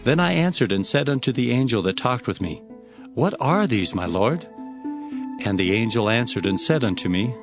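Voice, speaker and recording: A man plays an awed biblical prophet with a medium-pitched voice. He recounts his visions in earnest, measured declamation, as in an audio scripture drama, with faint music behind him. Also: animated, natural voice.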